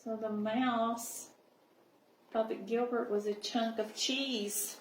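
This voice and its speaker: A woman reading a picture book aloud to young children as a library storyteller, in an expressive manner. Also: a little high, slight background noise. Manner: expressive, pausing to check understanding with listeners. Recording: roomy, echoing sound.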